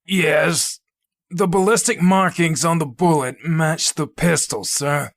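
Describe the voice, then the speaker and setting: An actor playing a clumsy, good-natured male police detective with a gruff, informal manner in a fan audio drama. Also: a little high, slow. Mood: eager.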